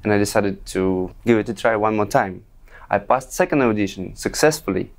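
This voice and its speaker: A man's voice: on the low side, moderate pace, vocal fry. Young man with a Russian accent. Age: young adult. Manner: friendly, storytelling. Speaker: guest performer. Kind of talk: interview answers.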